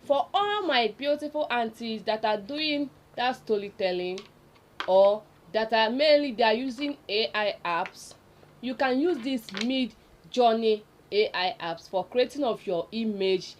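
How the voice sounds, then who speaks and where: A woman's voice: fairly high pitch, lively intonation, measured pace, a little hiss or hum. A woman with a Nigerian accent hosting a casual, unscripted tutorial, repeating points for emphasis and speaking directly to viewers. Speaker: video tutorial host. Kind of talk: casual unscripted explainer listicle.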